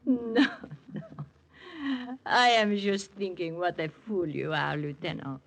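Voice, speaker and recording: An actress playing an alluring, shrewd French woman in a 1940s radio drama, teasing in measured, formal English with a French accent. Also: fairly low pitch, lively intonation, vocal fry, fairly bright tone, slight background noise, full character voice. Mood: teasing, coy.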